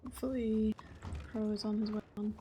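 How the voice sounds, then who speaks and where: A woman streamer chatting casually while she plays, relaxed and self-deprecating, swearing now and then and laughing often, a deep voice at a desk mic set back a little. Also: brisk, slight background noise. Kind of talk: casual livestream chat while playing. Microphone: desk mic moved a little further from her face.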